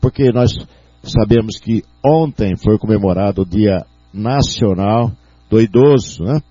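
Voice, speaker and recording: A Brazilian Portuguese-accented man hosting a radio talk show, with a mellow, dark, raspy voice. He rambles through his questions with many fillers. The sound is dry and dull, like lo-fi radio. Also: lowish voice, unhurried, dry recording.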